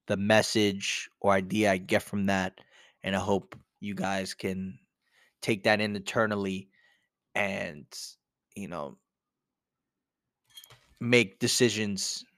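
A man's voice: on the low side, clear, resonant tone, dry recording, studio quality. A young man hosting a casual solo podcast, telling a story in a rambling, conversational way with lots of "you know". He sounds a bit congested from a cold. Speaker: podcast host.

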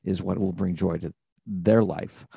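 A man's voice: low voice, steady tone, unhurried, vocal fry, dark tone, breathy edge, no room sound, studio quality. A man hosting an interview podcast, talking in an earnest, reflective way about purpose and connection. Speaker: podcast host. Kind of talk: conversational interview.

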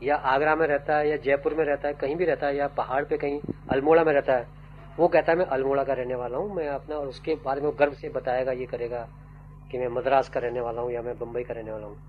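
An older man, a writer and poet, giving slow, musing interview answers that trail off into fillers. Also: mid-range voice, little pitch movement. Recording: dull old tape with faint hum.

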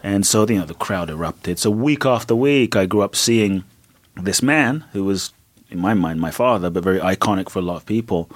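Deep-voiced earnest male podcast guest. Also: expressive, moderate pace, dry recording. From Ghana. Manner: earnest, reflective, vivid storyteller. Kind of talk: interview storytelling.

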